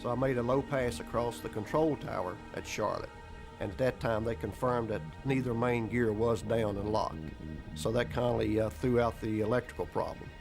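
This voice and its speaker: A man's voice: medium pitch, normal speed, creaky. A man, an airline captain, recalling events in a documentary interview in a plain, matter-of-fact way with folksy grammar. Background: background music.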